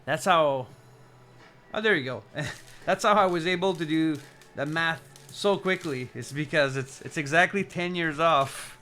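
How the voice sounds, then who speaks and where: A man in his forties chatting casually as a live streamer, halting and repeating words as he rambles. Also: fairly high pitch, sharp tone. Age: 40s. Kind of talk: casual chat with stream viewers.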